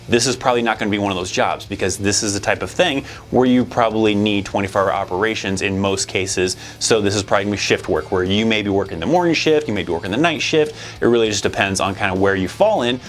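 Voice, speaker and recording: A man with a fairly low voice talks very quickly as he hosts an informational explainer. His delivery is conversational and addressed straight to viewers, with faint music underneath. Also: a bit bright. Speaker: video host.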